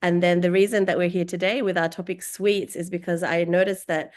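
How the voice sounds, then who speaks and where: A woman hosting an interview in a warm, encouraging way, sprinkling her speech with Arabic phrases. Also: lowish voice, level tone, a little fast. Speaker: host. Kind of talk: casual interview talk.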